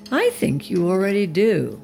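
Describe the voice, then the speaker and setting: An actor playing a calm, menacing leader of a radical movement in an audio drama, speaking deliberately and rhetorically. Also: male voice, sits high, creaky, soft background music, heavily acted. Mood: calm, challenging.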